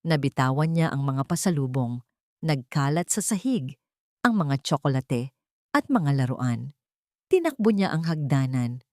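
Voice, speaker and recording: A woman giving slow, solemn, scripted narration for an audio drama. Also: extremely low pitch, very animated, warm tone, dry recording, studio quality.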